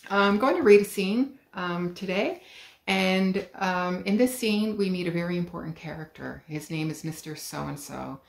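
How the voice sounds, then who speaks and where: A middle-aged woman, an author, reading her children's book aloud at home in a calm, clear storytelling manner. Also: fairly low pitch, moderate pace. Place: living room at home. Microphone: webcam, head and shoulders. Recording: roomy living room.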